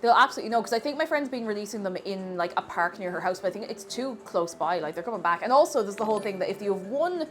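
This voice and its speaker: A woman's voice: mid-range voice, fast talker, few pauses, soft background music. An Irish woman with a sniffly cold, chatting casually with viewers while cooking on a live stream. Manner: chatty and animated, thanks viewers warmly.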